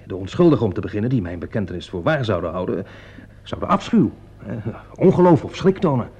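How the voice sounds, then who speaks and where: An actor playing an earnest, touchy young amateur detective in a radio play, defensive and self-important. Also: male voice, lowish voice, big pitch swings, fast, a little mellow, slightly rough, heavily acted. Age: young adult. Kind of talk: radio play acting in Dutch. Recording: dull lo-fi radio play recording.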